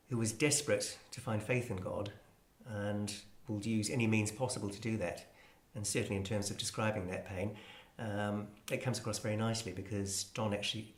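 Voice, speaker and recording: Male presenter, low voice, scholarly and measured, explaining classical music in an echoing room. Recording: roomy, echoing space.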